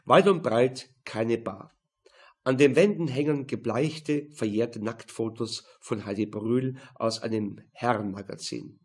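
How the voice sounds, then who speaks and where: Husky Viennese man narrating a crime audiobook. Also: medium pitch, measured pace. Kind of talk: scripted first-person crime narration.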